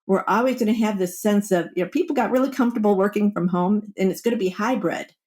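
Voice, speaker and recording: Woman wellbeing coach chatting on a podcast, mid pitch, explains stuff with little acted-out examples. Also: quick pace, a little mellow. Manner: explanatory, acts out example quotes. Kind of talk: conversational interview answers, coaching advice.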